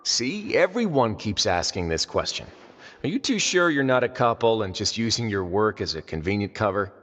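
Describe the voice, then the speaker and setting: An actor playing a composed, authoritative duke in a video game, speaking with dry, teasing assurance. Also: male voice, lowish voice, sing-song, moderate pace, fairly bright tone, in a large hall. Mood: wry, teasing.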